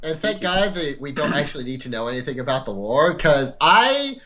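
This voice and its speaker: Lively, bright woman bantering over lo-fi mic. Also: deep voice, brisk. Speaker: player in a tabletop game. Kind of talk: casual banter.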